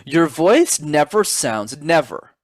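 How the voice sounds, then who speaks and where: A man guesting on a podcast as a public speaking coach, talking in an animated, motivational way and often calling the host by name. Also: fairly high pitch, slow, no room sound, clean studio sound. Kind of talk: casual interview chat.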